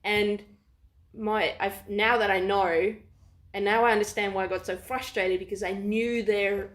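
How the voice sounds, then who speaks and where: A woman's voice: medium pitch, steady tone, unhurried. A woman with an Afrikaans accent chatting casually as a host, rambling and restarting her thoughts as she goes. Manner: rambling, restarts often, thinks aloud. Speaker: podcast host. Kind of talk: casual chat. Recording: echoey room with faint noise.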